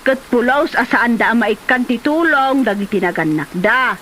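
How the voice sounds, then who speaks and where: A woman's voice: fairly high pitch, lively intonation, moderate pace, a bit bright. An actress playing a chatty, nosy village woman in an Ilocano comedy drama, recorded on noisy location. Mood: gossipy.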